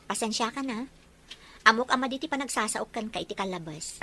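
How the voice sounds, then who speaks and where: A woman's voice: on the high side, animated, brisk, bright, forceful tone, a little hiss or hum. A woman playing a guarded but teasing young working woman in an Ilocano romance drama. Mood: shy, softening.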